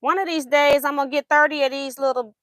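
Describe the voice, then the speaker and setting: A woman's voice: high-pitched, steady tone, quick pace, fairly bright tone, dry recording, studio quality. A woman hosting a quilting livestream, chatting casually while she sews, laughing often and answering viewer comments, her voice going in and out.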